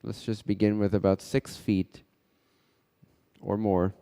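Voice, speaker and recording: A man leading a guided meditation as a teacher, speaking in short, unhurried phrases with pauses between them. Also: fairly low pitch, flat delivery, warm tone. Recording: long reverberant echo.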